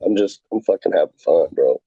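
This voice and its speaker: Guest fighter, male, lower voice, mellow tone, excitable and profane, dry dull recording. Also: a little fast, creaky, breathy edge, dry recording. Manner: rambling, excitable, swears freely, acts out quotes. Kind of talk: casual podcast chat.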